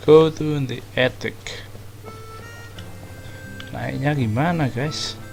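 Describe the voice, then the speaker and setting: A man from indonesia drops short, blunt, slangy remarks while he plays through a game. his mid-range voice has a gravelly edge, and game music plays clearly behind him. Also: mid-range voice, takes it slowly. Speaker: gaming channel host. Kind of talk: casual let's-play commentary.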